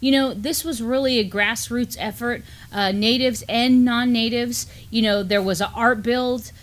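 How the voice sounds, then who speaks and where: A middle-aged woman co-hosting a conversational community news show, talking haltingly with frequent pauses. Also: a little high, fairly even intonation. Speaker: co-host. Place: small studio, indoors.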